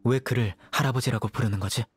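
A man voicing a calm, stern young male judge in a dubbed game, speaking in a measured, dry way. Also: mid-range voice, slightly rough, faint music behind. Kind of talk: game dub voice acting.

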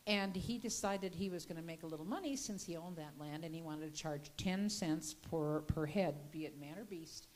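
A woman's voice: sits low, moderate pace, few pauses, a little mellow, slight background noise. An older woman giving a folksy, chatty history talk with slides into a handheld mic in a gallery room. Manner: folksy, chatty storytelling with restarts. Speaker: local history speaker.

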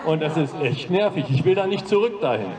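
A man's voice: fairly high pitch, lively intonation, a little fast, noisy background. Male, 50s, from eastern Germany, direct statement, distant, crowd behind. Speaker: demo speaker at open mic. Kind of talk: short protest statement. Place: city street outside a broadcast building.

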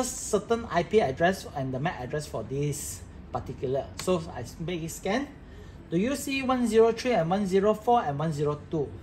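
A man's voice: fairly high pitch, darkish tone, slight background noise, rough recording. A man giving an informal hands-on tech tutorial, talking through each step as he works. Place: indoor office workbench with electronic devices.

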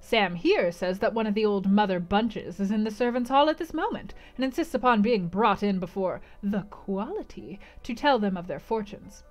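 A woman's voice: medium pitch, lively intonation, normal speed, hardly any pauses, close to an everyday voice. Lady playing a cheery colonel talking the ladies into some fun, bouncy delivery. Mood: hearty. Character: jovial scheming military gentleman.

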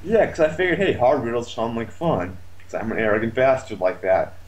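A man's voice: mid-range voice, sing-song, fairly quick, darkish tone. A man chatting casually about a video game, complaining in a sardonic, foul-mouthed way.

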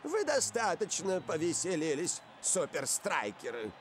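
A man's voice: a little high, huge pitch swings, a little slow, soft background music. An actor playing a scheming, bossy older male football coach in a cartoon dub, with a theatrical, commanding delivery. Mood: gloating menace.

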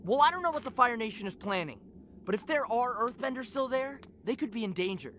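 A performer voicing a wry, self-important teenage warrior in an animated cartoon game. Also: medium pitch, fairly quick, a little hiss or hum, natural voice. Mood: concerned.

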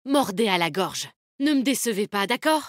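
A woman's voice: mid-range voice, expressive, normal speed, no room sound, clean studio sound, natural voice. An actress playing a tough, confident, protective woman fighter in a video game, with a bold, cheeky, commanding manner. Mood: commanding, rallying. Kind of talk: video game character voice lines, combat callouts.

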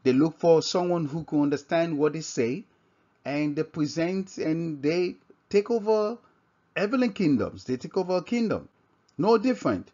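A man with a Nigerian accent giving an informal scripture lesson as a religious teacher, halting and often repeating and rephrasing himself. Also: on the high side, expressive, mellow. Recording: dull, lo-fi microphone sound.

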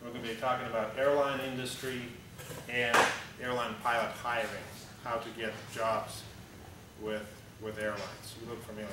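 A Russian-accented man in his thirties speaks to a group in a plain, conversational way, blunt and full of "uh"s and restarts. He sounds far from the mic, with a heavy echo from the big room and a steady hum behind him. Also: mid-range voice, normal speed. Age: 30s. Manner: conversational and blunt, with frequent "uh" and restarts, gesturing while standing. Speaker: airline pilot giving a talk. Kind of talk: informal slide presentation to a group. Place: large echoey hangar-like meeting room indoors. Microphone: camera at the back of the room.

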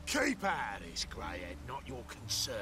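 A man's voice: mid-range voice, huge pitch swings, normal speed, noisy background. A man playing a menacing street thug collecting debts in a fantasy video game. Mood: threatening.

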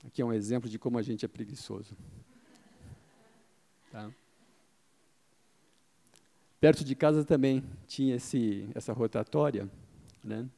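Man, middle-aged, conference speaker, handheld mic, dark mellow tone, raspy edge. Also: mid-range voice, normal speed, pauses often. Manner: explanatory, asks himself questions and answers them, frequent "tá?" tags. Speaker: speaker giving a marketing talk. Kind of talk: conference talk to a live audience. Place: event hall with a seated audience. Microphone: handheld microphone.

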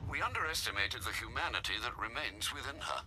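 An actor playing a loyal older butler in a game, formal, dry and deferential. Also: male voice, medium pitch, expressive, quick pace, pushed and bright, a little hiss or hum, poor audio. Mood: earnest, composed. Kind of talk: video game drama acting.

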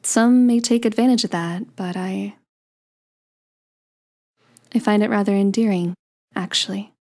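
A woman playing a playful, sweet young daughter of Cupid in an ASMR romance roleplay, coy and gently teasing. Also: medium pitch, steady tone, a little slow. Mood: tender.

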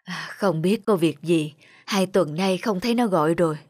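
A woman voicing a worried, self-sacrificing elderly village mother in a cartoon, gentle and plaintive in manner. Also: lowish voice, normal speed, no echo, studio quality, lightly acted. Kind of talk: animated moral tale voice acting.

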